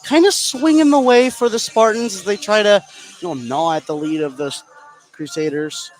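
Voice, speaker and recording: A man's voice: high-pitched, normal speed, poor audio. A man giving conversational live analysis as a basketball color commentator. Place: indoor gym at a basketball game.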